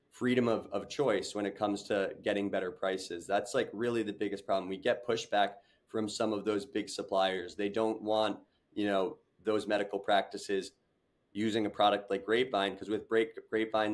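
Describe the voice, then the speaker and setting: A young man, a startup founder, talking earnestly on a video call interview, with many fillers and restarts. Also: fairly low pitch. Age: young adult. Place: home room with large window.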